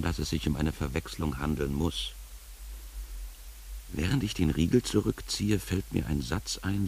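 Very deep, gravelly, sardonic wanderer. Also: male voice, a little mellow, a little hiss or hum. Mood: dry, wry. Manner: deliberate, poetic, dryly ironic. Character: sardonic, world-weary first-person wanderer and guide. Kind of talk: literary radio play narration.

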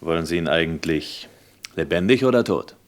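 Fbi lieutenant in a radio play, deep voice, respectful but doubtful, always asking. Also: male voice, animated, normal speed. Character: deferential, questioning male FBI lieutenant. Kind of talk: German radio crime drama acting.

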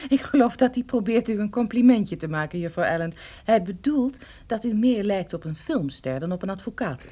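A woman's voice: mid-range voice, expressive, normal speed, dark tone, lightly acted. An actress playing an outspoken, exasperated female sidekick in a radio drama, talking in an emphatic, colloquial way. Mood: amused. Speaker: supporting actress. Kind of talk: radio detective drama acting.

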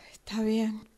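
A woman playing a weary, guarded mother in an improvised radio drama, speaking tersely with an Argentine accent and a breathy voice. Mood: wary, stunned. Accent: Argentine Spanish. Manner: terse, echoing questions. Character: weary, guarded mother of a teenage daughter, knitting.

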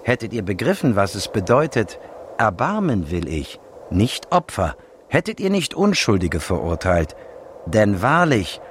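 Commanding scriptural preacher, radio play. Declamatory, very measured, highly varied intonation, slight background noise. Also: male voice, very animated. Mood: firm, rebuking. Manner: deliberate, emphatic, declamatory. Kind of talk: radio drama scripture recitation.

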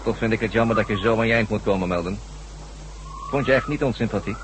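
A man's voice: fairly low pitch, quick pace, close to an everyday voice. An actor playing a resigned, matter-of-fact male henchman with a regretful manner in a science fiction radio drama. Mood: grim, regretful. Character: resigned male henchman escorting a doomed prisoner. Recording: noisy old radio drama recording.

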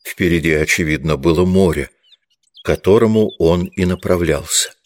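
A man's voice: low-pitched, normal speed. A man reading literary prose as the scripted narrator of an audiobook.

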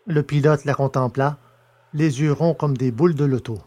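Measured male audio drama narrator. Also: fairly high pitch. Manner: steady, measured storytelling. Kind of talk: scripted science fiction narration.

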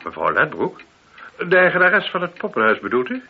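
Brief: indignant, defensive gentleman suspect, husky, widely swinging intonation, muffled radio drama. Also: a little high, wildly swooping pitch, fairly quick, full character voice. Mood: feigned surprise. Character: haughty, indignant married male murder suspect. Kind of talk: radio play acting. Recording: dull old radio recording.

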